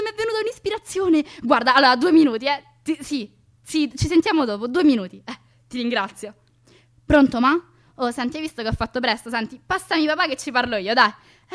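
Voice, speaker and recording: Actress doing anxious young roman woman on the phone, highish voice, rambly and emphatic, radio monologue. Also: fairly bright tone. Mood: enthusiastic. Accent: Roman Italian. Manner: colloquial, rambling, exclamatory, emphatic. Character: chatty anxious young woman phoning parents and friends. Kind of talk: dramatic monologue reading as one side of phone calls.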